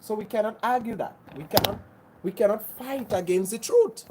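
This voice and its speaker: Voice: mid-range voice, moderate pace, noisy background. Earnest host, preachy and repetitive. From Grenada. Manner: earnest, repeats phrases, restarts often; preachy, insistent. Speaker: livestream host. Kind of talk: casual livestream chat answering viewers.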